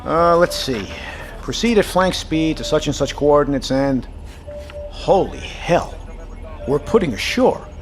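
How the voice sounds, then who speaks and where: An actor playing a gruff, sarcastic, exasperated submarine captain in an audio drama, with a profane, sardonic way of talking. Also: male voice, on the high side, expressive, a little slow, soft background music.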